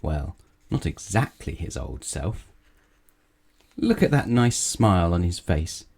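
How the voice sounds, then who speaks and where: Male storyteller, children's read-aloud, deep-leaning pitch, soft relaxed timbre. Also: slow, creaky, slightly rough.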